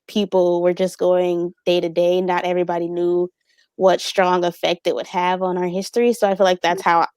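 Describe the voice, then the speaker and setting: A woman's voice: lowish voice, darkish tone, no echo. Hesitant young woman with a dry close sound. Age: young adult. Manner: hesitant, thoughtful, many fillers and restarts. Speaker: panelist. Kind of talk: casual reflective discussion.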